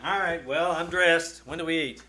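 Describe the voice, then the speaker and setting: A man's voice: a little high, normal speed, sharp tone. An actor playing a bewildered, grumbling middle-aged father with incredulous delivery in a 1940s-style radio comedy. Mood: plain, friendly. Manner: baffled, incredulous. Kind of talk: 1940s radio comedy acting before live audience.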